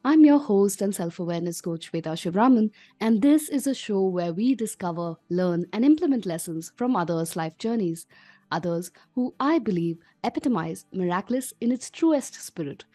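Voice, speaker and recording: A woman's voice: mid-range voice, lively intonation, normal speed, mellow, faint music behind. Polished woman podcast host. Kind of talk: scripted intro then interviewing.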